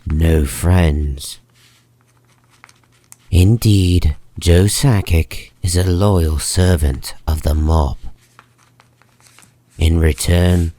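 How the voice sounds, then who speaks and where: A man narrating a comic dub in a measured, solemn and dramatic scripted style. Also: low voice, warm tone, no echo.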